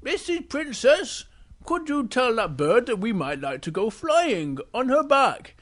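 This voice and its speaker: An adult storyteller voicing a polite, cautious big bear in a drawn-out, earnest manner. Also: mid-range voice, sing-song, a bit bright, pitched above the performer's own voice.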